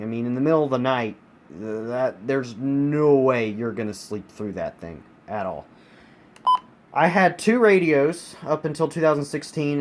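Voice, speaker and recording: A man from the United States giving a casual, emphatic hobbyist product review, repeating words for stress. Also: mid-range voice. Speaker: collector reviewing his weather radios. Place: carpeted room at home. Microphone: handheld phone camera, speaker off screen. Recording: noisy home phone-camera recording.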